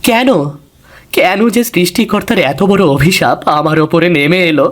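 A man's voice: high-pitched, animated, measured pace, a bit bright. A man voicing a humble, dutiful young man in a cartoon, plaintive and earnest. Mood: sorrowful, pleading.

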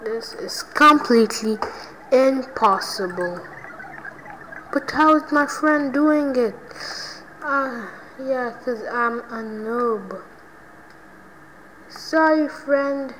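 Slow-talking excitable gamer woman. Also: high-pitched, a little hiss or hum, poor audio. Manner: excitable, reacts loudly to wins and deaths. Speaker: gaming channel host. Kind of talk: let's play commentary.

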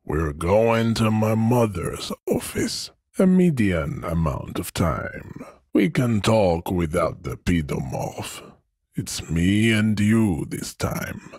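An imposing, pompous ideologue from a video game. His low voice swoops through grand, scornful declamations. The sound is dry and close, every pronouncement full of proud disdain. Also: very animated, slow pace, a little mellow, slightly rough, no room sound, exaggerated voice. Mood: disdainful, stern. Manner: grandiloquent, declamatory, emphatic listing. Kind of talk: theatrical video game character acting.

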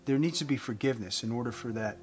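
A man in his fifties giving an earnest evangelistic talk to camera as a host, phrasing deliberately with emphasis. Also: medium pitch, a little mellow. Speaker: host giving a faith message. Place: indoors, room with wooden beams. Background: guitar music.

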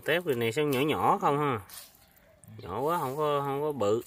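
A man's voice: medium pitch, animated, normal speed, a bit bright. A man with a southern Vietnamese accent chatting casually from behind a handheld phone outdoors, often repeating short phrases. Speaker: vlogger filming shrimp and snail catching. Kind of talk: casual chat while filming. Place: outdoors on a muddy pond bank. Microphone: handheld phone, speaking from behind the camera.

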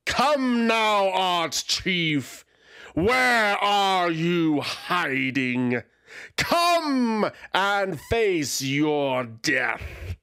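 A man's voice: high-pitched, very animated, sharp tone, clear, resonant tone, pitched above the performer's own voice, exaggerated voice. A man doing a cruel, purring she-dragon. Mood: taunting. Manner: slow, menacing, purring taunts. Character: cruel, gloating female dragon.

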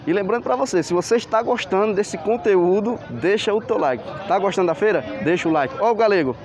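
A man's voice: sits high, fairly quick, over music. A man, touring an outdoor street market on camera and exclaiming over the stalls as he walks. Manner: enthusiastic, exclaims "que maravilha", points things out. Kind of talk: walking market tour vlog. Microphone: handheld camera mic, filming while walking. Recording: crowd noise behind.

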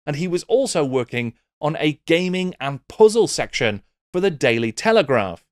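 A man's voice: a little high, expressive, normal speed, no room sound, studio quality. A middle-aged British man presenting a scripted video essay with wry, theatrical emphasis. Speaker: YouTube host. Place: small book-lined home room. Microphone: close desk microphone with pop filter.

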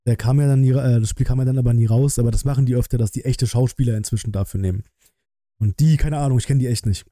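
A man in his thirties talks casually on a live stream, musing out loud in colloquial phrases. His voice is strikingly bright and crisp-edged, at a middling pitch. The sound is dry and close. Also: fast, dry recording. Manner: musing, colloquial, thinking aloud. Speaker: streamer reacting to a game trailer.

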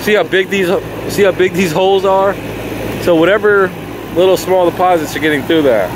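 A young man in his twenties, an HVAC technician, explaining a repair step by step on a rooftop in a relaxed way, with many fillers. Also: high-pitched, brisk. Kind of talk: on-the-job teaching. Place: rooftop outdoors beside running equipment. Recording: loud machine noise outdoors.

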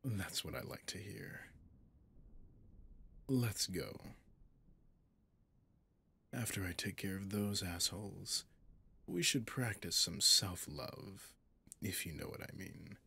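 A man's voice: sits low, expressive, bright, forceful tone, full character voice. A man voicing a possessive, gloating demon in an ASMR roleplay, seductive and menacing, often slipping into a whisper. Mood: wicked, teasing. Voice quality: gravelly, breathy, often whispering. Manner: slow, seductive, menacing, condescending.

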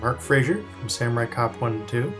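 A man's voice: medium pitch, animated, fairly quick. Man narrating a scripted promo over music. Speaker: writer-director presenting his project. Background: soft music.

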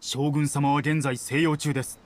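A man's voice: a little high, little pitch movement, moderate pace, close to an everyday voice. A man voicing a dutiful palace guard in a Japanese game, speaking in a polite, stiff, official manner. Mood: firm. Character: dutiful, formal young male palace guard. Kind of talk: Japanese anime game voice acting.

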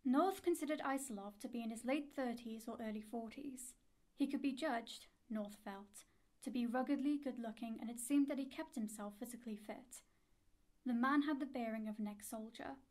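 A woman acting as the narrator of a spy audio drama, giving measured, scripted storytelling. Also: a little high, darkish tone, natural voice. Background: music.

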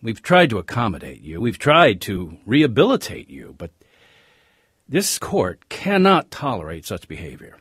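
Stern, husky navy captain. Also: male voice, lowish voice, wildly swooping pitch, a bit bright, no room sound, clean studio sound, full character voice. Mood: stern, official. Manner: formal, measured, authoritative. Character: stern but fair navy captain judging sailor. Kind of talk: radio drama acting.

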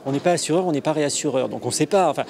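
A man in his thirties answering a reporter's questions in a hall, talking fast with restarts, into a handheld mic. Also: a little high, gravelly, darkish tone. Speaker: association representative. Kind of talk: interview answer. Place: indoor meeting hall.

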